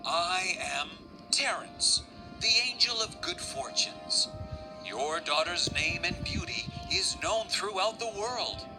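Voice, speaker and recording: Man playing a slow, gallant angel suitor. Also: sits high, expressive, over music, poor audio. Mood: courtly. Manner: courtly, reassuring. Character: suave, gallant angel of good fortunes, a suitor.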